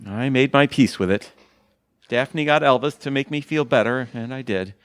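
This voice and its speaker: A man's voice: medium pitch, moderate pace, a bit bright, clean tone, no room sound, close to an everyday voice. A man playing a hapless, sentimental husband in an audio theatre comedy, with an earnest, theatrical delivery. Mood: mournful, pleading.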